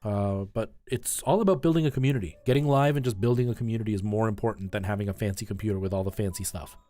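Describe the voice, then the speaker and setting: A man's voice: fairly low pitch, fast talker, dark tone. A man chatting casually and informally on a live game stream, rambling in asides to his viewers and giving tips.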